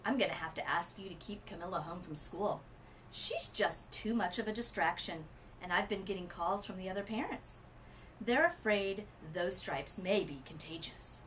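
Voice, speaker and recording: A male school principal from a storybook, read by a woman. He sounds polite and measured, apologizing while staying firm, with faint noise behind him. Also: on the low side, lively intonation, fairly bright tone, low-quality recording. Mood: apologetic, firm.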